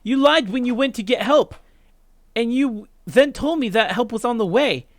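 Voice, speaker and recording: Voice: medium pitch, expressive, moderate pace, dry recording, studio quality, pitched above the performer's own voice, lightly acted. A let's player voicing a desperate, accusing visual novel student, urgent and pleading. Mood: angry, demanding. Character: desperate, accusing schoolkid Megatron, indignant and confused.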